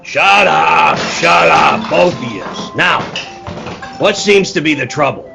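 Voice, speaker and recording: A man's voice: on the high side, very animated, takes it slowly, gravelly, music in the background, heavily acted. A man playing a bossy, exasperated circus manager in a 1940s-style radio play, commanding and emphatic.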